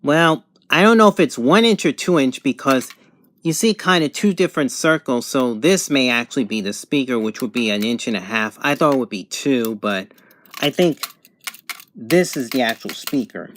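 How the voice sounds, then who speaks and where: A man giving a casual, unscripted product review at home, thinking aloud in halting phrases. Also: medium pitch, animated, fairly bright tone. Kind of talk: casual unboxing and review narration. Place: home bedroom, indoors.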